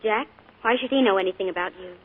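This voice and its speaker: A woman's voice: medium pitch, close to an everyday voice. An actress playing an earnest young woman who is afraid of her uncle, in a 1940s radio drama. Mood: frightened. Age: young adult. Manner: halting, anxious. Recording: tinny 1940s radio broadcast.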